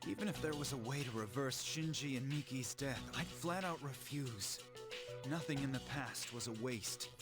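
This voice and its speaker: A man's voice: mid-range voice, moderate pace, lightly acted. An actor playing a blunt, resolute young man who scolds firmly in a video game drama. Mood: stern, resolute. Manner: challenging, firm. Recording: loud music under the voice.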